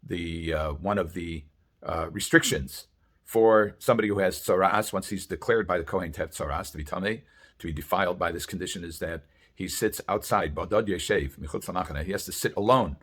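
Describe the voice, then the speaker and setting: A man speaking as a rabbi giving a religious sermon, explaining sources in a halting, deliberate way with frequent hesitations. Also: low voice, fairly bright tone, slightly rough.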